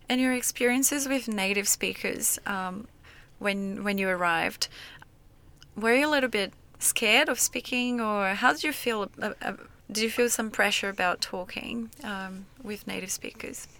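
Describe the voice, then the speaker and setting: A woman's voice: medium pitch, measured pace, pushed and bright. A woman hosting a podcast in English, chatty and searching for words, laughing often. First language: not English. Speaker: podcast host. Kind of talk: casual conversation.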